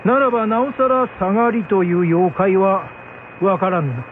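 A man's voice: sits high, moderate pace, pitched above the performer's own voice, natural voice. A man voicing a curious, commanding young shogun in a folk tale drama, with lordly, questioning, archaic speech. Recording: thin phone-like band with hum.

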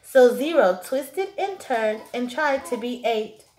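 A young woman reading a children's picture book aloud in an animated, emphatic way, as a teacher would to small children. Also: on the high side, slow pace, echoey room. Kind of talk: expressive children's storybook read-aloud. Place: small classroom-like room, indoors.

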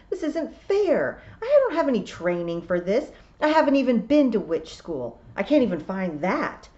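A woman's voice: low voice, warm tone, close to an everyday voice. A woman voicing a small, earnest and frustrated young apprentice witch, plaintive and questioning, on a slightly dull recording.

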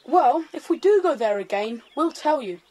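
A well-mannered British boy in a children's audio adventure. He sounds sensible and sure of himself, with a high, lively, expressive voice. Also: moderate pace, poor audio. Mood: doubtful. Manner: polite, self-assured. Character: polite, sensible boy, leader of young friends. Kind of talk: children's audio drama acting.

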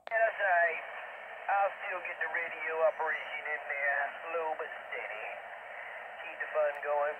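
Through very heavy radio noise comes a middle-aged man. His voice sits on the high side, and he chats casually as an amateur radio operator over a two-way set. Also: fairly even intonation, unhurried, phone-quality sound. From United States. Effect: two-way radio transmission. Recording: very noisy, static-laden ham radio audio.

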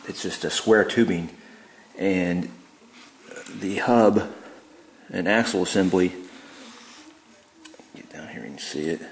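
A man giving a relaxed, plain-spoken DIY walkthrough in a garage, with lots of ums and uhs, recorded on a handheld camera. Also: deep voice, reverberant, slight background noise, low-quality recording. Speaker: DIY builder showing his work. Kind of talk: casual how-to walkthrough. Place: indoor garage.

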